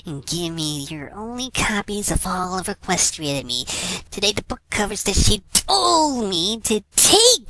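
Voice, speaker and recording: A woman's voice: medium pitch, creaky, bright, forceful tone, slight background noise, heavily acted, low-quality recording. A woman voicing a frantic, unhinged young unicorn pony with a manic, over-sweet, dramatic delivery in a fan fiction reading. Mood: anxious, upset. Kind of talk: fan fiction audio reading, cartoon character acting.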